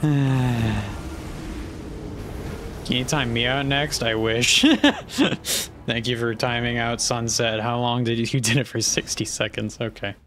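A young man streaming a game from a small room on a headset, mostly monotone and self-deprecating, swearing and answering chat. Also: medium pitch, slow pace, long pauses, noisy background.

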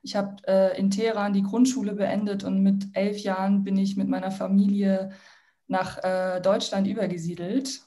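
A woman's voice: medium pitch, level tone, normal speed, warm tone. A young woman from Iran, a guest social entrepreneur introducing herself on a video call in a friendly way, with many fillers and restarts. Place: home room, indoors. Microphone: laptop mic on video call.

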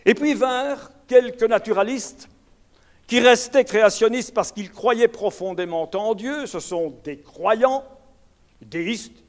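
A man's voice: sits high, slow pace. An older man with a Belgian French accent giving a public lecture, emphatic and full of rhetorical asides to his audience. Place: lecture hall.

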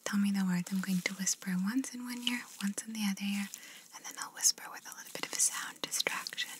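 A woman whispering slowly and softly as she plays an examiner in an ASMR roleplay, repeating gentle praise into a close binaural mic. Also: medium pitch, steady tone. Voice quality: whispering, mostly unvoiced. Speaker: ASMR creator playing an examiner.

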